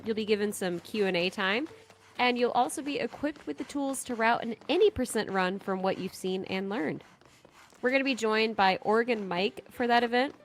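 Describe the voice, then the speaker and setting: A woman's voice: mid-range voice, a little hiss or hum. A woman hosting a stream, delivering a prepared event announcement. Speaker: event host making an announcement.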